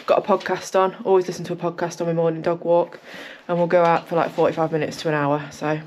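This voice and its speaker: A young woman, chatting casually to her camera as a cycling vlogger, rambling and talkative, her voice a bit croaky from just waking up. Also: lowish voice, level tone, quick pace, a little mellow, a little hiss or hum. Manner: chatty, rambling, talks a lot. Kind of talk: casual vlog chat to camera. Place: home, then outdoors on a walk.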